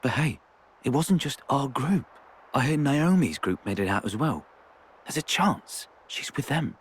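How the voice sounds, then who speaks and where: Husky, earnest comrade. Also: male voice, medium pitch, sing-song, measured pace. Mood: earnest, reassuring. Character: earnest, reassuring male comrade bringing news. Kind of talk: video game cutscene acting.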